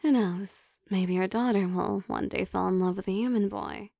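A woman playing an affectionate, possessive vampire girlfriend in an intimate ASMR roleplay, speaking in a soft, teasing, coaxing manner. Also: medium pitch, steady tone, normal speed, vocal fry, dry recording, clean studio sound, lightly acted. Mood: teasing, fond. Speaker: voice actor.

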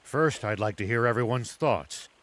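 A man voicing an older, steady war veteran in a video game, measured and resolute. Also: mid-range voice, lightly acted. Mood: calm, serious.

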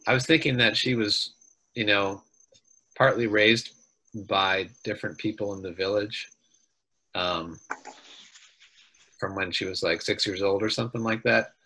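Man, low pitch, bright forceful voice, musing, video call. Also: poor audio. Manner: thoughtful, musing, with many ums. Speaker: player. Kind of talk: casual talk about a character at a tabletop game.

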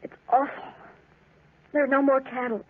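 A scared, exhausted farm wife in an old radio play. Her voice is high and a little husky, pleading and faltering, on a tinny, phone-like vintage recording. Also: little pitch movement. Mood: fearful, weary. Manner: pleading, halting, emotional. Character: frightened, worn-out farm wife in trouble. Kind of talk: 1940s radio drama acting.

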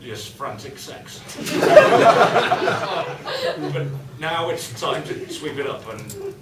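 Animated improv man, echoey and distant. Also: medium pitch, slow. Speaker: improv comedy performer. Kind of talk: improvised comic storytelling on stage. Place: small comedy club stage. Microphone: distant camera. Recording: echoey, noisy room recorded from far off.

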